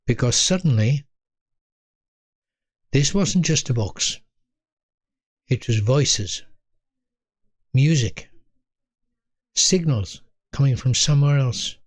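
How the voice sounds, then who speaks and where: An English man in his eighties telling a reflective story from his own life in a measured manner, pausing between short phrases. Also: mid-range voice, animated, dry recording, studio quality. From North East England. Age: 80s. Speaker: storyteller, host of own video series. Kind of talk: reflective autobiographical storytelling to camera.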